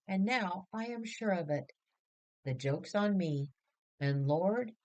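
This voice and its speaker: A woman's voice: fairly low pitch, mellow, lightly acted, poor audio. A woman voicing a cheerful, wry middle-aged mother in a storybook reading.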